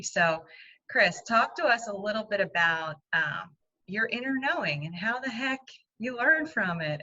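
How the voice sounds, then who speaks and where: A woman's voice: mid-range voice, pushed and bright, highs cut off. A woman co-hosting a podcast, upbeat and chatty as she asks her guest questions. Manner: upbeat and chatty, laughs easily. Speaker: podcast co-host. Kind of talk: conversational podcast talk.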